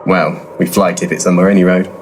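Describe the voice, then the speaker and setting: An actor playing a brash, foul-mouthed, money-minded ghost investigator in a comedy audio drama, deadpan and bossy. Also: male voice, low voice, fast, slight background noise, close to an everyday voice.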